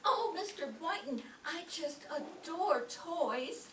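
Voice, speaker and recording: A woman's voice: very high-pitched, animated, slow, fairly bright tone, exaggerated voice. A woman playing a gushing, sugary romantic rival in a radio comedy. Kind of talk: old-time radio comedy acting. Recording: echoey room, distant mic, faint noise.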